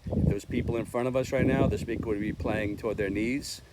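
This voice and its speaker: A man's voice: mid-range voice, steady tone, fairly quick, heavy background noise. Plainspoken man reviewing gear outdoors. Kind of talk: casual product review vlog. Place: outdoors in a park.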